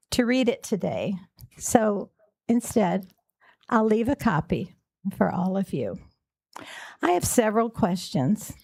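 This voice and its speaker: A woman reading prepared questions in a deliberate, measured way as a public commenter at a county commission meeting. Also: mid-range voice, creaky, mellow.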